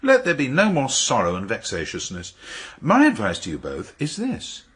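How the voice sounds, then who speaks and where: An actor playing a cold, sardonic, jealous nobleman in a period radio drama, sneering and theatrical, with a raspy voice. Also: mid-range voice, wildly swooping pitch, moderate pace, fairly bright tone, slight background noise. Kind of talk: period radio drama acting, narration and dialogue.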